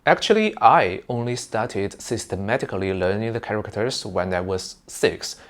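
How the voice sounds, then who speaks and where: Male host, English, fairly low voice, patient and upbeat explainer lesson. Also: expressive, normal speed. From mainland China. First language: Mandarin Chinese. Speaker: language channel host.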